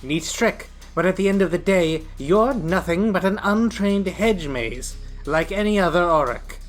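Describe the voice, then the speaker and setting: Stern elite sorceress performed by a male narrator, raised pitch, haughty and commanding, fantasy audio story. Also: a little slow, faint music behind. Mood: haughty, dismissive. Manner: commanding, condescending. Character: stern, haughty woman elite battle mage.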